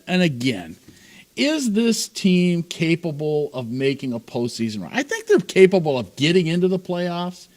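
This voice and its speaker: A man co-hosts a sports talk show, pushing skeptical questions. His slightly high voice swings up and down with a lot of life. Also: a little mellow. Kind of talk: casual sports chat.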